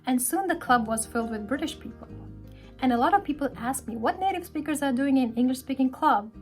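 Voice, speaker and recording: A woman's voice: sits high, animated, a little fast. A woman from Pakistan, an English teacher, narrating a vlog in a friendly storytelling way. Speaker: English teacher and speaking club organizer. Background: soft background music.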